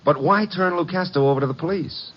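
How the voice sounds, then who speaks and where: A man's voice: sits high, fast talker. An actor playing a breezy, flirtatious detective's legman, delivering slangy, sardonic lines with swagger in a radio mystery. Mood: wry, teasing. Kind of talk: 1950s radio detective acting. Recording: muffled, noisy vintage radio recording.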